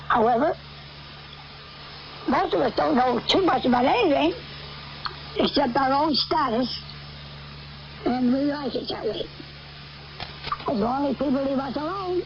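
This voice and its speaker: An older American woman preaches a rambling end-times sermon in a high-pitched voice. She is emphatic and repetitive, halting between phrases. It comes over shortwave radio, muffled and clearly noisy.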